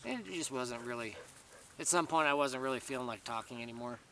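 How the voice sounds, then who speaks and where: A man talks in a loose, meandering vlog monologue, circling back with restarts and "you know"s. His voice is bright and a little raspy, picked up from a few feet away with a faint hiss underneath. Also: medium pitch, fairly quick, far from the mic. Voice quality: slightly raspy after long talking. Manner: meandering, many fillers and restarts. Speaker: vlogger. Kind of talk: casual rambling monologue to camera.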